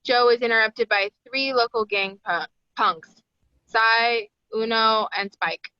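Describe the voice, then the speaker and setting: A woman's voice: a little high, slow pace, clear, resonant tone, no room sound, lo-fi sound. A woman reading screenplay stage directions as the narrator at a table read.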